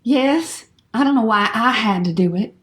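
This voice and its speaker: A woman's voice: on the high side, animated, moderate pace, a bit bright. A woman playing a blunt, indignant schoolgirl sidekick in a comedy radio play, protesting in a matter-of-fact way. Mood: grudging.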